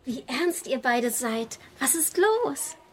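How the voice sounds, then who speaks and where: An actress playing a dreamy, lovestruck young sister with a gently teasing manner in a radio play. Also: female voice, high voice, big pitch swings, slow pace, background noise, full character voice. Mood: teasing, chiding. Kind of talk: German radio play acting.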